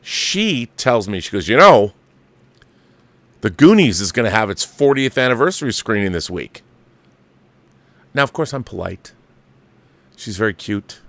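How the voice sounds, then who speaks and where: A man's voice: on the low side, sing-song, a little slow, pauses often, a bit bright, no room sound. An older man hosting a movie talk show, reading viewer mail aloud and riffing on it in an opinionated, emphatic, conversational way.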